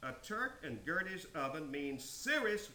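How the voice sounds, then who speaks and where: A man voicing a pompous, genteel gentleman in a story, mannered and oratorical. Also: on the high side, very animated, a little slow. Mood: grave, urgent. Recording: roomy echo, some background noise.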